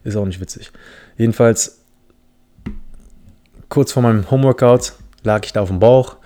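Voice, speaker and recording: Man from the Philippines, slightly low voice, mellow tone, rambling self-mocking podcast chat. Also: normal speed. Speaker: podcast host. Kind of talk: casual solo podcast monologue.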